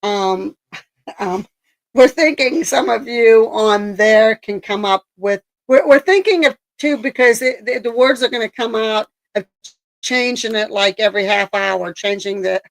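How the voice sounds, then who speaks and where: Woman host, hoarse and gravelly, loose rambling live-stream chatter. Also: on the high side, unhurried, rough recording. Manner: rambling, many ums and likes. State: raspy from a coughing spell. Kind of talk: casual live-stream chat.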